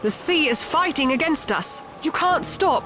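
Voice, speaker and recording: A high-voiced, resolute young fisherwoman played by an actress speaks with animated intonation in an audio drama. Her delivery is practical and emphatic, over a bed of music. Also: measured pace. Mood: steadying. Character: Rabia, resolute young fisherwoman of ancient Egypt.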